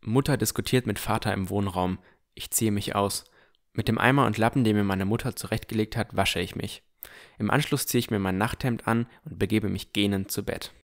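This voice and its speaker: Low-voiced man narrating fantasy. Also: fairly quick. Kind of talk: scripted first-person fantasy narration.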